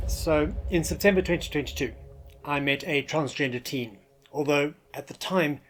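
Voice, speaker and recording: A man telling a personal story as a podcast host, candid and wry. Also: a little high. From South Africa.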